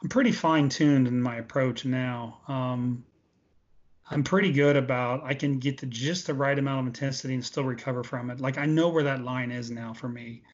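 A man's voice: mid-range voice, steady tone, normal speed. A man in his late forties giving casual, emphatic interview answers, repeating points for stress. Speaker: interview guest, veteran bodybuilder. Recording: dull, lo-fi audio.

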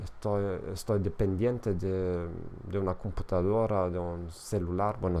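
Gravelly-voiced man. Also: sits low, darkish tone. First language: German. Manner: hesitant, many fillers and self-corrections, tag questions. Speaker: podcast host and language teacher. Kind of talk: casual solo podcast talk.

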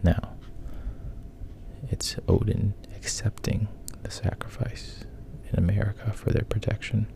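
A man casually retelling a story in a hushed, halting way, often dropping to a whisper, with a gravelly, breathy voice. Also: lowish voice, level tone.

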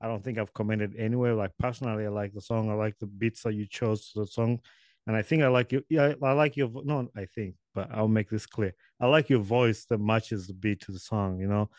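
A man's voice: on the low side, steady tone, a little fast, darkish tone, no echo. Rambling male podcast host, dry sound. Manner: rambling, self-correcting, chuckling. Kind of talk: casual interview chat. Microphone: video call.

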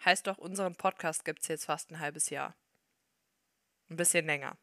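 A woman's voice: low-pitched, pushed and bright, dry recording. Chatty young woman, dry close mic. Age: young adult. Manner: chatty, quick, laughs often. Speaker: podcast co-host. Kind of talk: casual chat.

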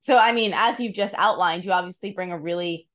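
A woman's voice: lowish voice, brisk, a bit bright. A woman hosting an interview podcast, asking friendly, well-structured questions. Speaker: podcast host.

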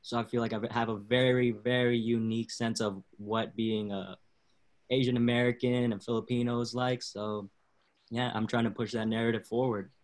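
A man's voice: medium pitch, little pitch movement, normal speed. A man from San Francisco, a podcast guest, talking in an easygoing, casual way. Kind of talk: casual chat.

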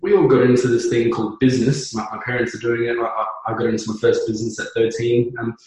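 A man's voice: mid-range voice, steady tone, quick pace, darkish tone, very echoey, rough recording. A man in his thirties from New Zealand, a business co-founder talking earnestly as an interview guest, with many fillers and restarts. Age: 30s. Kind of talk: conversational podcast interview.

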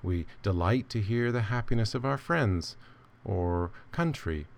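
Low-voiced man reading a literary essay. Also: lively intonation, a little slow, vocal fry. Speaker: reader.

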